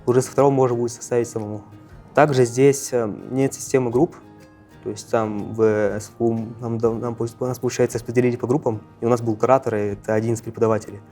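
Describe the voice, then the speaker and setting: A man in his late teens speaks casually in an interview with a mellow, soft-edged tone. He halts and corrects himself as he goes. Faint music sits behind him. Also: mid-range voice, level tone. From Russia, Yakutia. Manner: halting, self-correcting. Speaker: first-year university student. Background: faint background music.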